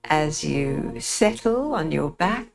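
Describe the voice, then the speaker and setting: Slow, low-voiced woman guiding relaxation. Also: animated, gravelly, over music. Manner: soothing, gentle, unhurried guiding with pauses. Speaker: yoga nidra teacher. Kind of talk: guided relaxation, meditation instruction.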